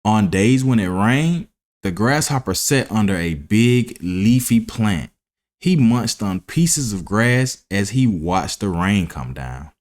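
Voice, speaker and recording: A man narrating a scripted children's story as a read-aloud, deliberate and expressive. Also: on the low side. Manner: deliberate, expressive, enunciating for young listeners.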